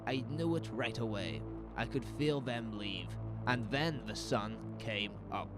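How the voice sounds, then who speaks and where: A man's voice: medium pitch, animated, unhurried, lightly acted, poor audio. Man performing an exhausted male fugitive, urgent, insistent, desperate, audio drama, music underneath. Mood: desperate, shaken. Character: exhausted, desperate man who escaped an alien invasion. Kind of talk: audio drama character acting.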